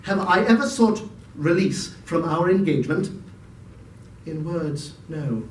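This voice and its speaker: A man's voice: on the high side, lively intonation. Man reading a solemn, sorrowful young woman. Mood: sad, resolute. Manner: measured, solemn, reproachful. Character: sorrowful, gentle young woman leaving her fiancé. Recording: echoey room, distant microphone.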